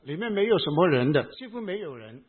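A man with a Hong Kong accent preaches a sermon in short phrases, leaving pauses for his interpreter. His voice is somewhat high and husky. The sound is thin and phone-like. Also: big pitch swings. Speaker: pastor.

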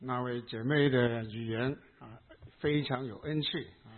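A man with a Hong Kong accent preaching a sermon in short phrases, pausing between them for an interpreter. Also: mid-range voice, fairly even intonation. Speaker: pastor. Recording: tinny phone-like sound.

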